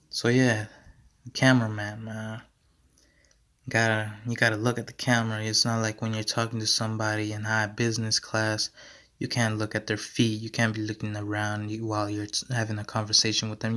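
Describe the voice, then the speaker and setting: A young man hosting his own podcast, rambling in a slangy, repetitive way and going hoarse as he talks. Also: fairly low pitch, pushed and bright. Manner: slangy, repeats himself, many fillers. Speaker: podcast host. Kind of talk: casual rambling monologue.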